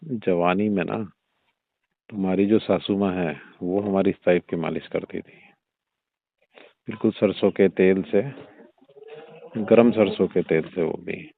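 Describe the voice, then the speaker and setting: A man's voice: low-pitched, steady tone, a little slow, vocal fry, long pauses, dry recording, exaggerated voice. A man playing an older, indulgent father-in-law who talks in a coaxing, suggestive, familiar way in a staged phone-call drama. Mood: fond, suggestive. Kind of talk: staged phone-call audio drama in everyday conversational speech. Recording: muffled narrow-band phone-line sound.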